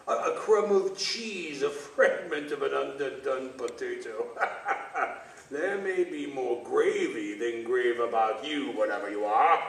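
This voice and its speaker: A man's voice: medium pitch, gravelly, fairly bright tone. An actor playing a miserly, bitter old businessman with a blustering, snappish manner in a radio theater reading. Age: older. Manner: blustering, snappish, stammering when rattled. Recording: echoey distant stage recording, faint noise.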